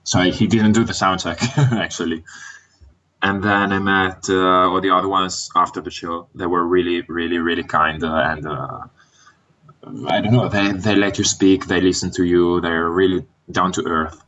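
Deep-voiced Italian man, halting and hesitant. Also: steady tone, a bit bright, dull audio, a little hiss or hum. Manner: hesitant, many "uh" fillers. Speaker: guest fan. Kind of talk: casual podcast chat.

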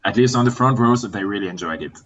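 A very low-voiced man whose first language is Italian talks casually as a guest fan. He speaks in halting English, pausing often to search for words. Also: a bit bright, highs cut off. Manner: hesitant, many "uh" fillers. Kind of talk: casual podcast chat.

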